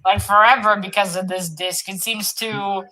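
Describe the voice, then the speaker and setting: A woman's voice: medium pitch, steady tone, normal speed, a loud crowd, poor audio. A woman talking casually and informally as she retells a story in a book discussion.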